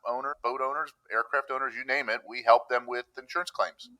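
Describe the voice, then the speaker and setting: A middle-aged man from Pennsylvania chats as a podcast guest in a clear, bright voice of ordinary pitch. He talks in an easygoing, storytelling way and acts out the people he quotes. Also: fairly even intonation, fairly quick, low-quality recording. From Pennsylvania, USA. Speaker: public adjuster, podcast guest. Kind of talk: conversational interview. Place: room with a countryside backdrop.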